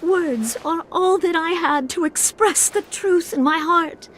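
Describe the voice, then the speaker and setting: A woman's voice: very high-pitched, unhurried, slight background noise. An actress playing an anguished but resolute young noblewoman in a fantasy game, earnest and dramatic. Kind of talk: video game cutscene acting.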